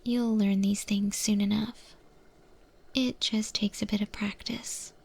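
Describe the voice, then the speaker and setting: A woman voicing an eldritch monster cook who patiently trains a new recruit, in a breathy whispered ASMR roleplay. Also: mid-range voice, level tone, exaggerated voice. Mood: patient, reassuring. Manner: patient, gently instructive, unhurried.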